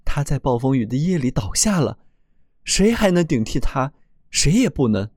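A man's voice: medium pitch, big pitch swings, moderate pace, darkish tone, no echo, clean studio sound. A man voicing a group of grieving sailors on a ship in a storybook tale, speaking in a raised, urgent voice. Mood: mournful. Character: mournful adult male sailors on a ship.

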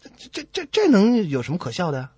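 Highly animated male comedy radio host. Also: brisk, slightly rough, no echo. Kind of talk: scripted joke telling and banter.

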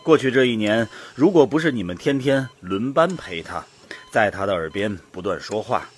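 A man playing a modest, kindly doctor with a husky voice in a radio drama. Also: lowish voice, expressive, moderate pace. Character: modest, kindly male doctor reassuring grateful parents.

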